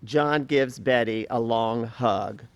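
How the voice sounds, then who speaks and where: A narrator with a medium-pitched voice reads scripted stage directions for a play reading. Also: slow pace, clear, resonant tone, no room sound, lo-fi sound.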